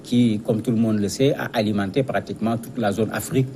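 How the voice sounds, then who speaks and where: A man's voice: fairly low pitch, fairly even intonation, a little fast, darkish tone, slight background noise. A man giving explanatory commentary as a guest analyst in a news interview, speaking didactically and repeating words as he searches for phrasing.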